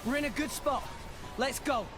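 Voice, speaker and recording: A man's voice: very high-pitched, normal speed. An actor playing an earnest, plucky teenage boy hero in a video game cutscene, eager and determined. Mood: urgent. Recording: music under the voice.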